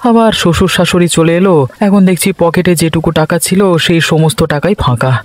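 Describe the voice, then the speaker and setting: A man voicing a grumbling, lazy village husband in a cartoon, complaining and exclaiming, with music clearly behind him. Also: fairly high pitch, fairly quick, lightly acted. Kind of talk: cartoon voice acting.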